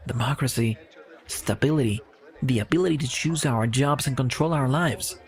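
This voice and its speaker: Resigned male storyteller, acted, radio drama: average pitch, musing, measured explanation. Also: natural voice. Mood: somber, resigned. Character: reflective man explaining a dystopian myth, resigned.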